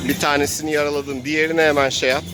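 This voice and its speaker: A man's voice: a little high, little pitch movement, racing, over music. A man casually improvising narration as he plays a role-playing game.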